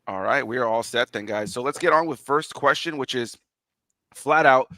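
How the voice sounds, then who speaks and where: A man hosting a casual online panel show, sniffly from allergies, talking in a relaxed, chatty way with frequent restarts. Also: medium pitch, moderate pace, a crowd in the background. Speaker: host.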